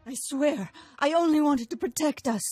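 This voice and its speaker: A woman voicing a desperate, pleading noblewoman with a soft husky voice in a video game. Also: high voice, moderate pace, no room sound, faint music behind, lightly acted. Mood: pleading, frightened.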